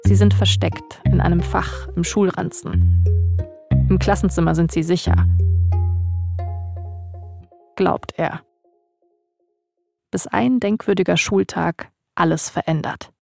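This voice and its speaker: A woman's voice: fairly low pitch, sing-song, unhurried, creaky, pauses often, warm tone, soft background music. A woman hosting and narrating a scripted podcast story. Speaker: narrator, host.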